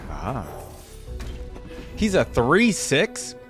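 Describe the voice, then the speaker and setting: Casual male reaction host, mid-pitched. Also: huge pitch swings, drawn-out words, over music. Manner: informal, thinking aloud.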